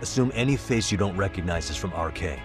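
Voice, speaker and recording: A cool, serious detective with a low voice, pushing hard for answers. It sounds like dubbed dialogue from a crime game, with music clearly underneath. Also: male voice, animated, fast. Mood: insistent. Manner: serious, pressing. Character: determined, pushy male detective, cool and serious. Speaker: private detective character. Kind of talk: English game dub cutscene acting.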